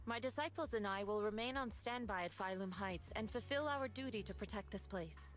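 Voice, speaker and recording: A woman playing a calm, courteous martial master with a dry manner in a video game. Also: medium pitch, brisk, soft background music, lightly acted.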